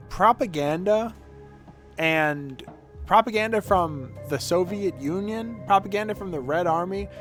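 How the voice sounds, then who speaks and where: A man streams a game with casual, rambling commentary. His voice sits a bit high and moves around in very lively swings. He is sardonic and swears freely, over some background music. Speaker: game livestreamer.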